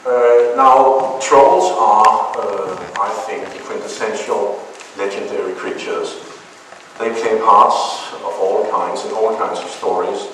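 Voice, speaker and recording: A man's voice: on the low side, steady tone, takes it slowly, fairly bright tone. A man with a Danish accent giving a lecture to an audience, hesitant with many filler sounds and dry humor. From Denmark. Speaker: zoologist giving a talk. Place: large hall. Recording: echoey hall, distant mic, noisy.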